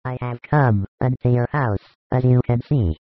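Guy playing a calm solemn holy teacher in an audio drama, mid pitch, patient, sounds like a phone line. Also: no echo, close to an everyday voice. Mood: gentle, calm. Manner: measured, solemn, consoling. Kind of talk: dramatised audiobook dialogue, scripted. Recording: dry, narrow phone-like sound.

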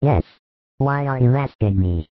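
A man's voice: medium pitch, expressive, unhurried, no room sound, phone-quality sound, natural voice. A man voicing a stern yet merciful savior, speaking firmly and solemnly in a dramatised scripture story. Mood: grave, questioning. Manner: firm, solemn, authoritative.

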